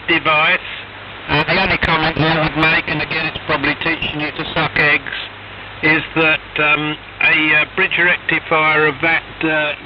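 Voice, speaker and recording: Radio ham over noisy shortwave. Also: male voice, on the high side, dull audio. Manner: hesitant, halting technical explanations. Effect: shortwave radio transmission. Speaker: amateur radio operator. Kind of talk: casual radio net chat. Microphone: radio transceiver microphone heard over HF radio. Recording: noisy, band-limited shortwave radio reception.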